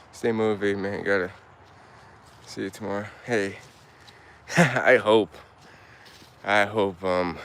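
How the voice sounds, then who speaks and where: Slow, low-voiced young man streaming outdoors. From Turkey. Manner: laid-back, answers chat aloud, halting restarts. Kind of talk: casual live-stream chat while walking in woods. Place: outdoors in woods.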